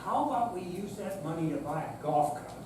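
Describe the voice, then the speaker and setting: A man's voice: sits high, animated, brisk. An older man playing a sheepish, stubborn elderly father in a staged reading. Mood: reluctant. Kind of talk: staged readers theater reading. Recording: echoey noisy hall, distant mic.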